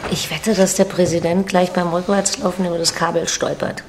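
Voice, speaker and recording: A woman's voice: on the low side, level tone, a little fast, close to an everyday voice. An actress playing a cocky woman who argues insistently in colloquial radio drama dialogue. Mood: daring. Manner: insistent, argumentative. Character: pushy, cocky woman gambler demanding her winnings. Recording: echoey, noisy room.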